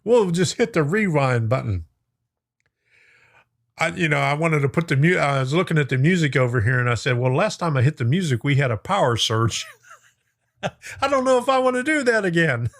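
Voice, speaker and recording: A man's voice: a little high, big pitch swings, moderate pace, a bit bright. Folksy man hosting a chatty live stream. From lives in Florida. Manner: folksy, chuckling, talks to viewers by name. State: voice getting worn out. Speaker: host of a live stream on model trains. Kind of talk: casual live-stream chat reading viewer comments.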